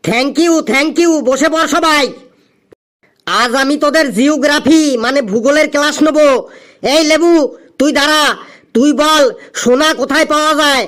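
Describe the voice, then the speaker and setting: Very high-pitched scolding cartoon schoolteacher. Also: male voice, fairly even intonation, normal speed, fairly bright tone. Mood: stern questioning. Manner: scolding, threatening, emphatic. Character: scolding, exasperated male schoolteacher in a comedy cartoon. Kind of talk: exaggerated comic cartoon dubbing.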